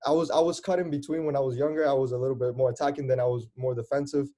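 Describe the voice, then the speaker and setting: A man's voice: mid-range voice, fairly even intonation, racing, mellow. A man speaking as a youth soccer coach and interviewer, in an encouraging, mentoring way, thinking aloud as he talks. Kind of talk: conversational interview in front of young players.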